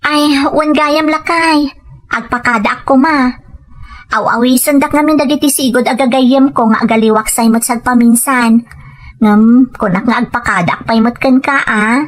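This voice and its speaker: A woman playing a soft-spoken, coaxing wife with a gambling vice in an Ilocano radio drama, in measured, confiding phrases. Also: high-pitched, steady tone, natural voice. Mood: gentle, coaxing. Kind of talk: Ilocano radio drama acting and first-person narration.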